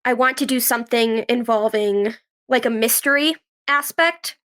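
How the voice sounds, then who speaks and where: Higher-voiced young woman, chatty interview guest. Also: moderate pace, fairly bright tone. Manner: conversational, frequent "like", quotes own thoughts. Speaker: podcast guest, actress and writer. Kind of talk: casual interview answers, storytelling.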